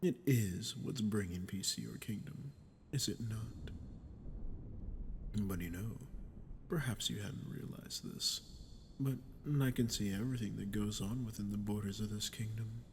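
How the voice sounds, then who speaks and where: A man playing a sadistic, smug archdemon in an ASMR roleplay, talking down to the listener with slow, mocking condescension. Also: lowish voice, a little hiss or hum. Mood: smug, knowing. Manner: mocking, condescending, slow taunting delivery with breathy drops. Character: sadistic, smug male archdemon toying with a captive ruler. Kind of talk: ASMR audio roleplay, dramatic monologue to the listener.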